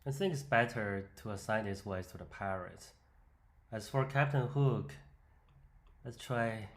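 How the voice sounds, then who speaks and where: A man presenting an unscripted software walkthrough, explaining step by step in a hesitant way. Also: fairly low pitch, measured pace. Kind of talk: unscripted screen-demo walkthrough.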